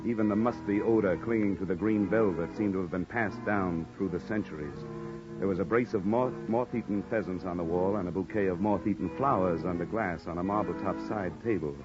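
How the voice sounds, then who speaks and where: An actor playing a sardonic, hard-boiled insurance investigator in an old-time radio noir drama. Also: male voice, sits low, fairly quick, over music, close to an everyday voice. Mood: wry, narrating. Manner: sardonic, dry. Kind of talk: 1940s-50s radio acting, noir narration. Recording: muffled old radio recording.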